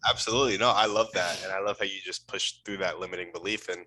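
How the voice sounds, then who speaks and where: A man's voice: sits low, fairly even intonation, quick pace, pushed and bright, breathy edge, rough recording. A man hosting a casual interview, enthusiastic and affirming as he responds and asks questions. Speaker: podcast host, interviewer.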